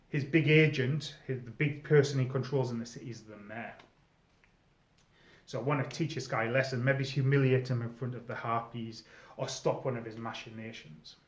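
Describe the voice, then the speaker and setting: A middle-aged man giving informal, conversational advice as a presenter explaining things to newcomers. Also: mid-range voice. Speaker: presenter, former game storyteller. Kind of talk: informal advice video monologue. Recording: echoey room, slightly dull sound.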